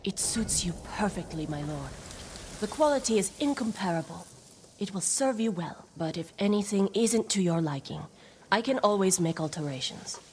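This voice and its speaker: An actress playing a humble village armorer who speaks politely, eager to serve. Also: female voice, medium pitch, lively intonation, moderate pace, darkish tone, a little hiss or hum, lightly acted. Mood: proud. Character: humble village armorer woman, proud of her craft.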